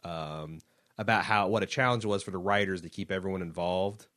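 A man's voice: sits low, a bit bright, no echo, studio quality. A man hosting a casual podcast, his voice strained from a recent illness, rambling through listener messages. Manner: rambling, reads messages aloud quickly. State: strained voice after being sick. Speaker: podcast host reading listener emails.

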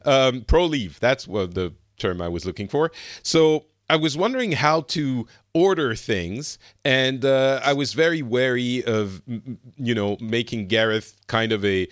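A man with a medium-pitched voice hosts a casual discussion. He sounds close and dry, with no room around him. He gropes for words in a halting, chuckly way. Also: lively intonation, dry recording. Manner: hesitant, searching for words, often chuckling. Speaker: podcast host moderating a panel.

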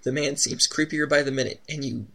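A man's voice: a little high, steady tone, a little fast, gravelly, bright, forceful tone. A man reading a story game's text aloud to friends, switching between narration and casual chat. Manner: reads text expressively, then chats. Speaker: game narrator.

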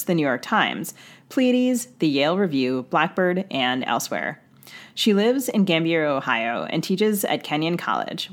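A woman co-hosting a podcast, reading scripted introductions and putting questions to guests. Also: deep voice. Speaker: podcast co-host.

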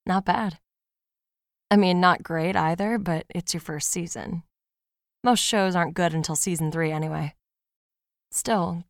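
A woman's voice: lowish voice, no room sound, clean studio sound. A woman playing a podcast host who reads out a fan's email in a casual, wry, sweary storytelling way for an audio drama. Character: podcast host reading a fan's confessional horror-comedy email aloud. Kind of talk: scripted audio drama monologue, conversational storytelling.

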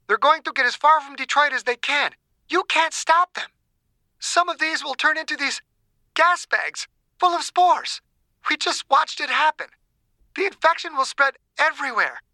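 A woman playing an urgent, desperate scientist in an audiobook drama, blunt and forceful in her delivery. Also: sits high, no echo, low-quality recording.

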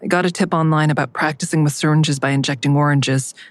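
An actress playing a hesitant, guilt-ridden grown daughter who narrates and confesses plainly in an audio drama. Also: female voice, low voice, steady tone, quick pace, natural voice. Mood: tense. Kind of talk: audio drama acting with first-person narration.

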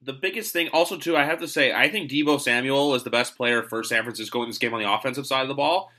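A man's voice: medium pitch, fast talker, sharp tone. A man hosting a sports podcast in casual chat, rambling and opinionated. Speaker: podcast host.

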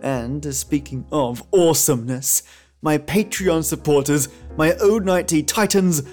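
A man's voice: a little high, measured pace, darkish tone. A man hosting a podcast, delivering scripted narration and commentary like a theatrical storyteller. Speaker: podcast host. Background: background music with guitar.

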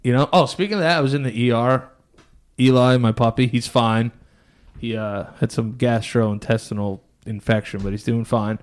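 A man's voice: medium pitch, fairly even intonation, normal speed. A man of thirty co-hosting a podcast, chatting casually in a blunt, crude, joking way. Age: 30s. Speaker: podcast co-host. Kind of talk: casual chat.

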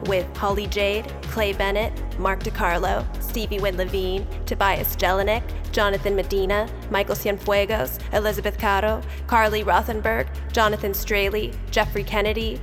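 A woman reading scripted credits as an announcer, in a measured, neutral manner. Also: medium pitch, flat delivery, soft background music.

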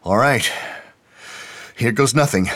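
A man's voice: medium pitch, slow pace, fairly bright tone, voice pushed up. A man voicing an eager, slightly sheepish male technician with a mild, obliging manner in an audiobook story.

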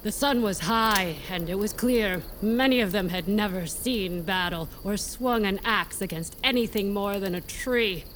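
A woman's voice: medium pitch, a little slow, few pauses, fairly bright tone, a little hiss or hum, close to an everyday voice. An actress playing a proud, brutal warrior who reports formally to her queen in a fantasy audio drama. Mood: grim, proud.

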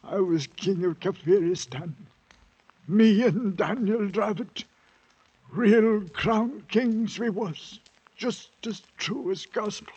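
An actor playing a dazed, half-mad adventurer in an old radio drama, speaking haltingly in a husky, breathy voice. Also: male voice, sits high, a little mellow, heavily acted. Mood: feverish pride. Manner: halting, wandering, childlike insistence. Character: broken, half-mad British ex-soldier adventurer, dazed and haunted. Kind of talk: 1940s radio acting. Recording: muffled vintage radio recording.

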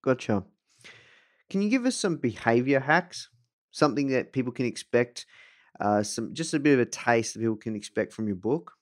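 A man hosting a casual interview, forming long questions haltingly and repeating phrases as he goes. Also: mid-range voice, lively intonation, no room sound, studio quality. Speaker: podcast host, interviewer.

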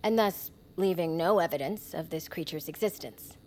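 A woman's voice: lowish voice, a little mellow, slight background noise, close to an everyday voice. Woman playing a sardonic government interrogator. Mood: sardonic, accusing. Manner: deliberate, pointed, sarcastic. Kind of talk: audio drama acting.

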